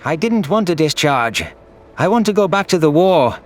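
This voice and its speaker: Man voicing an intense, bitter young alien soldier, raised pitch. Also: big pitch swings, normal speed. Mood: defiant. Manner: intense, defensive. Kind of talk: sci-fi audio drama dialogue.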